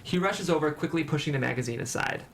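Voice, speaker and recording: A man's voice: on the high side, lively intonation, fast, fairly bright tone. A man reading scripted stage directions as the narrator of a table read. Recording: clear background noise.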